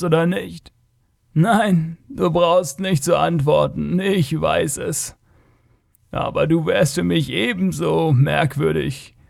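A man voicing an ancient, blind, tortured alien creature in a story, gentle and sorrowful, with a halting, lamenting delivery. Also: sits high, creaky, pitched above the performer's own voice, heavily acted.

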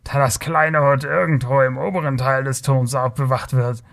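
A man's voice: mid-range voice, fairly even intonation, moderate pace, creaky, fairly bright tone. A man voicing a mocking, boastful, hot-tempered thief in a fantasy audiobook, with a bellowing, condescending manner. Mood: boastful warning.

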